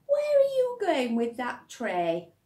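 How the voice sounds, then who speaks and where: Lady putting on a whiny little kid from a storybook, really high, pleading and eager, echoey room. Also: huge pitch swings, slow, warm tone, slight background noise, heavily acted. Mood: curious, pestering. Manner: whiny, insistent questioning. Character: young child, pleading and eager, pestering mother.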